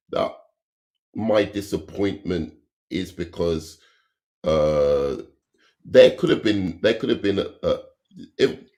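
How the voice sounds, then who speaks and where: Very low-voiced man losing his voice. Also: a little mellow. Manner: halting, many restarts and fillers; analytical, explains at length. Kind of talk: casual movie review debate.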